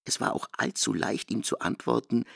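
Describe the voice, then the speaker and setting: A man narrating a literary radio play in a measured, expressive reading. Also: medium pitch, gravelly, no echo, studio quality.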